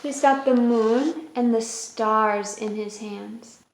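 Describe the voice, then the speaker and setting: A woman's voice: fairly high pitch, steady tone, takes it slowly. A woman hosting a children's story time, reading aloud and explaining in a simple way. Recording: roomy echo with music.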